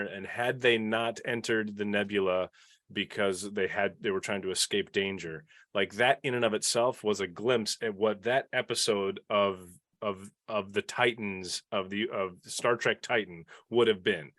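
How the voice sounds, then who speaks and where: A man with a fairly low voice talks in a relaxed panel discussion. He is enthusiastic and often restarts mid-phrase. The sound is very dry, with no room echo. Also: unhurried. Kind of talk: casual panel chat about a TV show.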